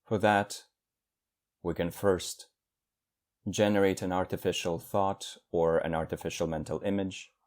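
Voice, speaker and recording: A man with a low-pitched voice leads a guided meditation. He speaks like a teacher, in measured phrases, and leaves generous pauses between one instruction and the next. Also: flat delivery, darkish tone.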